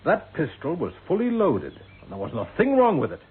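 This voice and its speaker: An actor playing a respectful, matter-of-fact police sergeant in a radio play. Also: male voice, on the high side, wildly swooping pitch, a little fast, slightly rough, heavily acted. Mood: grave, puzzled. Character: plain-spoken, respectful male police sergeant. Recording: noisy, tinny old radio recording.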